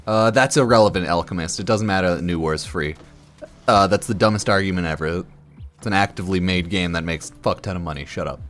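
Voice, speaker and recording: A man's voice: sits low, fast talker, fairly bright tone. A slightly tipsy man giving casual livestream commentary in a blunt, slangy, sweary way, often repeating himself and checking in with the listener. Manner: repeats himself for emphasis, tags lines with "right?". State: a bit drunk. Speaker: game streamer.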